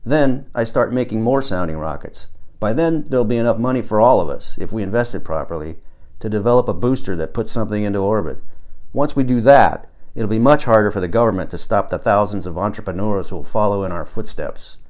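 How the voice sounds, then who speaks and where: A man's voice: fairly low pitch, expressive, a little fast, a little mellow, natural voice. A man voicing a brash, boastful, obsessive amateur rocket builder in an audiobook, in plain colloquial speech. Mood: confident.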